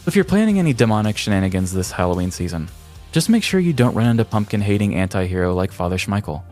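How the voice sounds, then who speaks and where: A man's voice: fairly low pitch, expressive, fast talker, a little mellow, soft background music. A man co-hosting a podcast, speaking in a storytelling and conversational style. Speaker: podcast co-host. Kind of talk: scripted story narration and casual banter.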